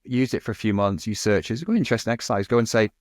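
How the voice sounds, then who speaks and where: A man's voice: on the low side, rapid-fire, no echo, clean studio sound. A man in his thirties, a podcast guest, talking fluently and casually with lots of "you know" and "kind of". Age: 30s. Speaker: agency guest.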